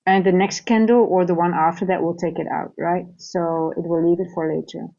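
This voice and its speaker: Dutch-accented female trading coach, low mellow voice, muffled lo-fi audio, talks things through aloud. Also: little pitch movement, a little fast, vocal fry. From Netherlands. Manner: thinks aloud with restarts and tag questions. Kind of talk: live trading stream commentary.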